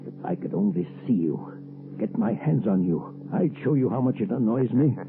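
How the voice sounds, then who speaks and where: A man's voice: medium pitch, sing-song, normal speed, vocal fry, background noise. A man playing a guilty, haunted villain in a 1930s radio thriller, agitated and defiant, on a tinny old recording. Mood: angry.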